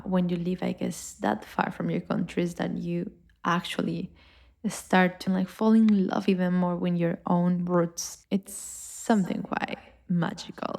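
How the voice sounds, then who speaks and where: A woman's voice: fairly low pitch, vocal fry. A young adult woman from Colombia with a Colombian Spanish accent, hosting a podcast in a reflective, upbeat personal monologue. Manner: warm, reflective, upbeat with filler words. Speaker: podcast host.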